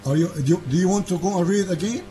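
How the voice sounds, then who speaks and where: A man's voice: high-pitched, dark tone, soft background music. Insistent man with an Arabic first language. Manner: insistent, argumentative, cuts in repeatedly; broken English, many quick repeats. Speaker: debate show host. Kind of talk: heated call-in debate.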